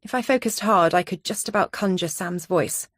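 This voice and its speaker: A woman's voice: lowish voice, normal speed, a bit bright, breathy edge, no room sound, clean studio sound. A woman reading a first-person thriller audiobook in a tense, dramatic storytelling manner. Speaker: audiobook narrator.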